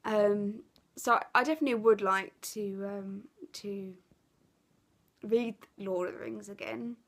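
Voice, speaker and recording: A woman's voice: mid-range voice, steady tone, measured pace. A woman with an Essex accent and a blocked, stuffy nose, chatting casually to camera as a craft vlogger, rambling with lots of ums and self-corrections. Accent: Essex English. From England.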